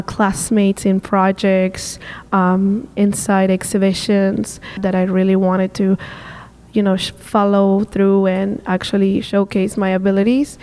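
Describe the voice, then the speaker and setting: A woman's voice: mid-range voice, darkish tone. A woman in her twenties from Peru, speaking English as a second language, answering interview questions eagerly with many fillers and restarts. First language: Spanish. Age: 20s. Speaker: guest, street art tour guide.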